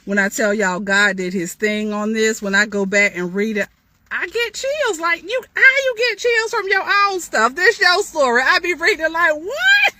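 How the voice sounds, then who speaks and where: A woman's voice: high-pitched, sing-song, normal speed, pushed and bright, dry recording. A woman author giving an inspirational storytime talk, reading from her own memoir with chatty, testifying asides that address listeners directly.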